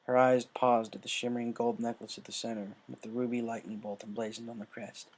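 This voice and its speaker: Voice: mid-range voice, little pitch movement, a little fast, darkish tone, low-quality recording. A narrator reading fan fiction aloud as scripted storytelling. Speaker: story reader.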